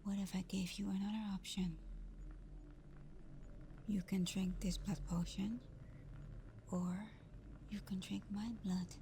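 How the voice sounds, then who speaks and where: Woman doing a seductive vampire gf for asmr roleplay, real soft n breathy, super slow, mellow dark tone, close on the mic. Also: medium pitch, little pitch movement, slight background noise. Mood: tender, seductive. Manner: soft, breathy, half-whispered, unhurried.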